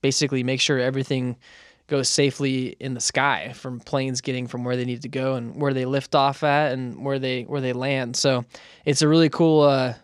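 An American man hosts a podcast in a loose, conversational style. His voice sits at a middling male pitch, and the recording is dry, with little room sound. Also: flat delivery, moderate pace, dry recording. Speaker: podcast host and interviewer. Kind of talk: casual podcast chat.

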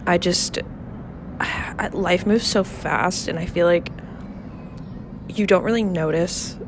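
Young woman, lowish voice, stuffy nasal cold, rambling casual chat, outdoor balcony noise. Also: slow pace, vocal fry. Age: 20s. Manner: rambling, filler-heavy, frequent laughs and swearing. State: congested, nasal from a head cold. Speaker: podcast host. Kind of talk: casual solo podcast chat.

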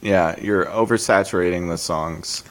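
A man whose hoarse voice sounds permanently sick, co-hosting a podcast and teasing the others deadpan. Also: low voice, measured pace. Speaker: podcast co-host. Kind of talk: casual chat.

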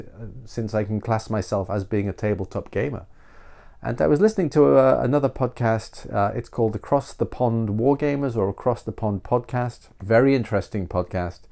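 A man's voice: fairly low pitch, creaky, dark tone. A middle-aged man hosting a solo podcast, nasal from a cold, rambling and self-deprecating with frequent restarts. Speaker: podcast host.

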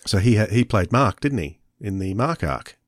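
A man's voice: fairly low pitch, big pitch swings, normal speed, no room sound, clean studio sound. A man co-hosting a podcast, chatting casually. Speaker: podcast co-host.

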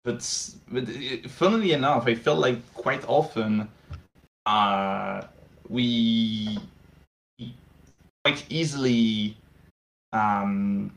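A man's voice: fairly low pitch, very slow, gravelly, long pauses, a bit bright. A man answering interview questions as a game designer, hesitant, with many fillers and restarts. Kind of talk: casual podcast interview.

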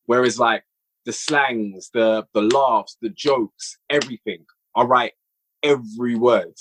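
A man's voice: medium pitch, a little slow, fairly bright tone, a little hiss or hum, low-quality recording. Emphatic man chatting as a podcast guest. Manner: emphatic, repeats phrases for stress. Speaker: podcast guest, audio drama writer and director. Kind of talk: casual conversational interview.

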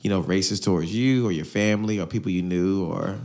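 A young man from Virginia co-hosting a podcast, talking in a relaxed, loose way full of "you know" fillers. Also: sits low, quick pace. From Hampton, Virginia. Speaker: podcast co-host. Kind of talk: casual conversation.

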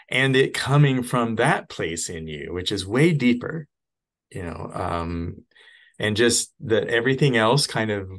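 A middle-aged man, a podcast guest, talking reflectively in a casual interview, with frequent pauses and restarts. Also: on the low side, fairly bright tone. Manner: reflective, halting, many restarts.

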